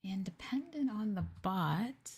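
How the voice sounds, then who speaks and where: A woman hosting an ASMR livestream, chatting casually and gently in a soft, breathy voice that often drops into a whisper, close to the mic. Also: mid-range voice, expressive, takes it slowly, a little hiss or hum. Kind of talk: soft-spoken ASMR casual chat with viewers. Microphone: close ASMR microphone.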